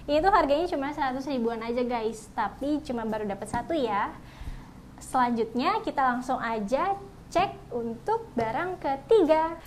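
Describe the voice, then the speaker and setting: Chatty high-voiced young woman reviewer. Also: slight background noise. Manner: chatty, often addresses viewers as guys. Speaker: product reviewer. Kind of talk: casual product review vlog.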